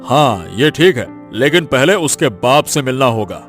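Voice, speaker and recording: Man playing a bossy scheming village villain, medium pitch. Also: very animated, measured pace, fairly bright tone, faint music behind. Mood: angry, scheming. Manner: domineering, scolding. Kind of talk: Hindi cartoon moral story dubbing.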